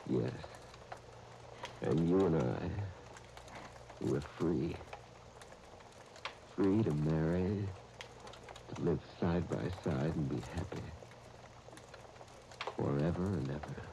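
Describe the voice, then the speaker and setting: An actor playing a grave, devoted doctor in love, with measured, earnest 1930s radio soap acting. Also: male voice, a bass voice, gravelly, heavily acted. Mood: tender, earnest. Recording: noisy 1939 radio broadcast disc.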